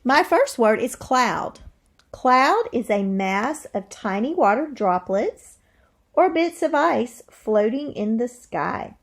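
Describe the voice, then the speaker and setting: Slow, animated woman teaching a read-aloud. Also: on the high side. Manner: deliberate, expressive, thinking aloud. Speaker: teacher reading aloud to young children. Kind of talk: read-aloud lesson with questions to the listeners.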